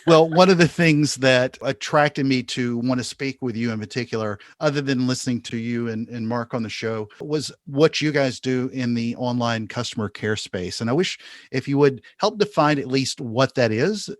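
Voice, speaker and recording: A man hosting a business podcast, reading a lively intro and then asking interview questions. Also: mid-range voice, dry recording, clean studio sound. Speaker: podcast host.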